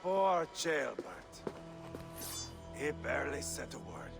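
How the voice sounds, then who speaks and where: A wounded Viking warrior, bitter and defiant. His voice is heavily gravelled and drags slowly, swinging in pitch, with loud music over it. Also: big pitch swings, full character voice. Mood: bitter taunting. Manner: slow, halting speech. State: badly wounded, weak. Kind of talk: dramatic video game acting.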